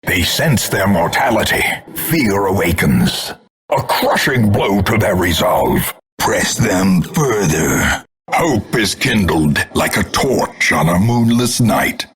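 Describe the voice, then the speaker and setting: An actor playing a grim, scornful older male announcer in a video game, grandiloquent and portentous, with a gravelly, husky voice. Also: low-pitched, animated, slow pace, fairly bright tone, exaggerated voice. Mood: menacing relish. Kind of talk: theatrical scripted game announcements.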